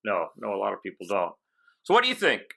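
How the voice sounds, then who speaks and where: A man with a fairly low-pitched voice and a bright, forceful edge. He speaks as a casual interview host, conversational and given to anecdote, working through his thoughts aloud. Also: huge pitch swings, fast talker, gravelly. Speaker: podcast host interviewing a radio operator.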